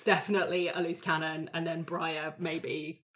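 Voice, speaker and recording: A woman with a fairly low-pitched voice talks casually as a tabletop player. She thinks aloud as she goes, sometimes voicing how someone might react. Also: flat delivery, normal speed, a bit bright. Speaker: player on an actual-play show. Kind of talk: casual tabletop game discussion.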